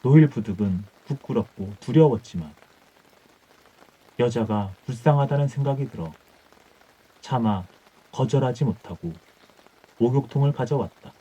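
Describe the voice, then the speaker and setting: A man's voice: mid-range voice, lively intonation, normal speed, pauses often, mellow, breathy edge. A man reading an old folktale as scripted bedtime audiobook narration. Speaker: audiobook storyteller. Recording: dull muffled audio with music.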